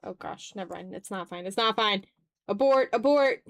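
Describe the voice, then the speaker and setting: A woman's voice: mid-range voice, normal speed, a bit bright, highs cut off. Casual woman art streamer chatting away. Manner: informal, muttering to self while working. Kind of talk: casual livestream chat while drawing.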